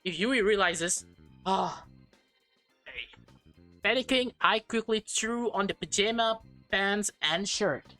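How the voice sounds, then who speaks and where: A woman doing a let's play, reading a visual novel aloud with expressive delivery, casual reacting asides and the occasional stumble over words. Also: mid-range voice, slow, pauses often, soft background music.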